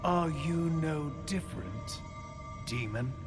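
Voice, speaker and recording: Game villain: pompous alien zealot, higher-pitched, very expressive, deliberate oratory. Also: male voice. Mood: grandiose, menacing. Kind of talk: dramatic video game villain monologue. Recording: long hall reverb over clear music.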